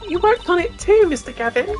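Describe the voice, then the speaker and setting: A woman voicing an excitable, teasing teenage girl from a video game. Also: very high voice, brisk, faint music behind, pitched above the performer's own voice. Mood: excited, admiring.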